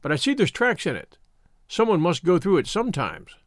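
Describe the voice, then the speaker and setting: Man voicing a practical, animated schoolboy. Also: high voice, fairly quick, no echo, clean studio sound. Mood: matter-of-fact. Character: resourceful, cautious English schoolboy scout.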